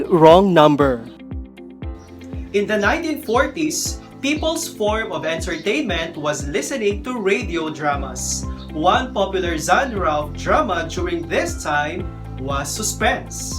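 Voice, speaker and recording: Music plays clearly behind a woman teacher with a Filipino accent. She narrates a scripted lesson with very lively, varied intonation at a middling pitch. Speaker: teacher presenting a video lesson. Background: background music.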